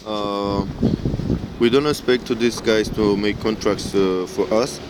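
A man from Romania with a Romanian accent, a construction worker answering in hesitant non-native English, pausing often. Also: on the low side. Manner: hesitant, many pauses and fillers. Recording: street noise in background.

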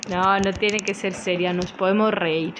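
A woman's voice: mid-range voice, steady tone, a little slow, noisy background. A woman conducting a friendly, conversational radio interview. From Colombia.

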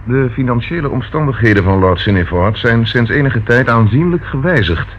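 A man's voice: medium pitch, expressive, normal speed, close to an everyday voice. A man acting a persistent, courteous police inspector in a Dutch radio play, questioning formally and politely. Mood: courteous, probing. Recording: dull, faintly noisy old radio recording.

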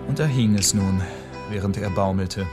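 Ein Schauspieler erzählt als Sprecher eines Hörspiels ein komisches Märchen nach Manuskript. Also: male voice, low-pitched, moderate pace, natural voice. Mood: narrating. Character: storyteller of a comic fairy tale. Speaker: narrator. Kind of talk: scripted radio play narration. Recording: music bed behind the voice.

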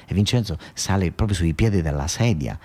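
A man's voice: sits low, lively intonation, brisk, dark tone. A man with a southern Italian accent performing a colloquial theatrical monologue for radio, conversational, repeating phrases and restarting as he tells the story.

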